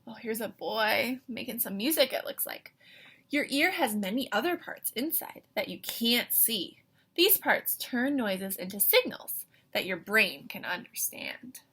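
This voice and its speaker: A woman reading a picture book aloud to young children like an excited teacher, with animated wonder, questions and asides in a breathy voice. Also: a little high, measured pace.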